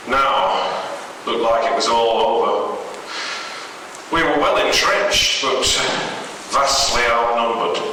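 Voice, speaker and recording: Guy reading a spooky story slowly n theatrically, far from the mic in a big echoey room w noise. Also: medium pitch, sharp tone. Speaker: horror story reader. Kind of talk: dramatic first-person horror story narration.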